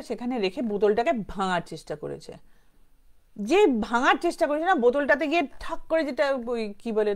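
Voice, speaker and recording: Female storyteller, Bengali accent, slightly high voice, off-the-cuff horror narration, conversational, code-switches into English. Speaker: storyteller, show host. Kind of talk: informal spoken horror storytelling, unscripted.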